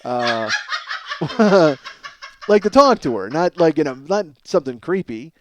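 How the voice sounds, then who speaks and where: A man's voice: a little high, very animated, measured pace, a little hiss or hum. A man hosting a comedy podcast in a rambling, self-mocking monologue, ranting with profane exasperation.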